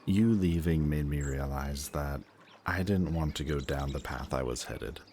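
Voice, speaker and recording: Very deep-voiced, confiding male antihero, acted by a man. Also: a little slow, a little mellow, a little hiss or hum. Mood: remorseful, sincere. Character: reformed antihero best friend, remorseful, affectionate, emotionally open. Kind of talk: intimate audio roleplay acting addressed to the listener.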